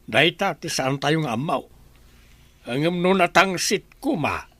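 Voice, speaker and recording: A fairly high, animated man's voice, recorded dry. He is a pious, reproving figure in a radio drama who moralizes and questions those around him. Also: a little slow, dry recording, exaggerated voice. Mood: admonishing. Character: pious, reproving man preaching humility and God's plan. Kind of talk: Ilocano radio drama acting.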